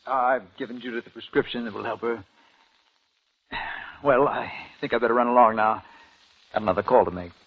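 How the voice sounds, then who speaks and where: An actor playing a breezy family doctor and friend in an old radio drama, casual and evasive. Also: male voice, medium pitch, steady tone, brisk, long pauses, slightly rough. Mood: casual, reassuring. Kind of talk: 1950s radio drama acting. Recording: muffled vintage radio broadcast.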